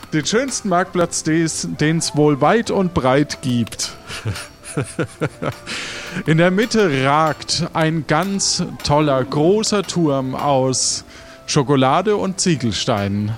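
A man improvising the narration of an adventure audio play, halting as he searches for words. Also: a little high, lively intonation, faint music behind. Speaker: game narrator and player.

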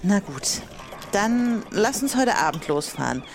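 A woman's voice: mid-range voice, sing-song, measured pace, noisy background. A woman playing a purposeful, slightly grand pirate captain in an improvised audio adventure, with a commanding way of talking. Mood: brisk.

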